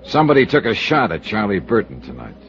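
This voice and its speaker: An actor playing a hard-boiled, probing investigator, terse and atmospheric, in an old-time American radio detective drama. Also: male voice, lowish voice, huge pitch swings, fast, a bit bright, faint music behind. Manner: clipped, terse questioning and atmospheric narration. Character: hard-boiled, probing male investigator narrating his case. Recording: muffled old radio broadcast recording.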